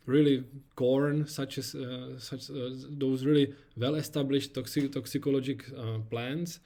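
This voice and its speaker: A Czech man gives a conference talk in English, with a Czech first language audible. He speaks in an even, flat tone and stumbles often, with fillers and repeated words as he works through his slides. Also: mid-range voice. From Czech Republic. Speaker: research scientist presenting.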